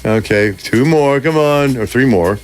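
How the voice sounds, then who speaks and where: Jokey male radio host, coaxing, bright energy, small studio. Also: medium pitch, a little slow. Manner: upbeat, joking, coaxing. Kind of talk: lively on-air chat with kids.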